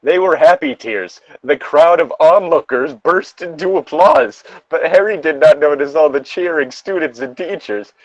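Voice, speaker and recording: A man's voice: on the high side, moderate pace, hardly any pauses, no echo, dull audio. A man co-hosting a comedic fan fiction reading, moving between narration and sardonic, incredulous casual banter.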